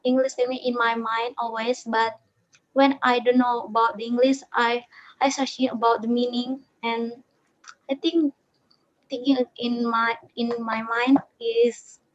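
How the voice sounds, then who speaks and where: A woman student on a video call, speaking halting beginner English and often repeating words. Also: on the high side, fairly even intonation, highs cut off. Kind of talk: class feedback.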